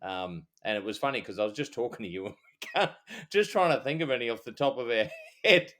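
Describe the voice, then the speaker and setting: A middle-aged Australian man, a former cop co-hosting a podcast, chatting in a rambling, halting, easygoing way. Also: medium pitch, expressive, fairly bright tone. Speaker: podcast co-host, former police officer. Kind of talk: casual chat.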